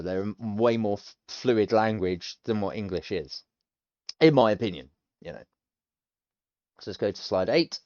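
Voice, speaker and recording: A man's voice: fairly low pitch, darkish tone, clear, resonant tone, no echo, highs cut off. A British man in his thirties, talking casually and rambling as he works through a language course, self-deprecating and chatty. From United Kingdom. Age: 30s. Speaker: language learner streamer. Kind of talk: casual thinking-aloud commentary.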